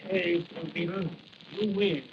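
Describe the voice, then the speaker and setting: Jumpy mob henchman, American, rough clipped delivery, muffled vintage radio drama with heavy noise. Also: high-pitched, steady tone, unhurried. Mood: beaten. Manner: clipped, rough slangy talk. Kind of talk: 1940s radio gangster acting. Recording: dull, noisy old radio recording.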